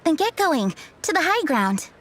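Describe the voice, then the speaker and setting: A woman's voice: high-pitched, big pitch swings, moderate pace, fairly bright tone, slight background noise. A woman playing a proud, devout townswoman in a fantasy video game, with a lecturing manner. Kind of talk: video game character dialogue.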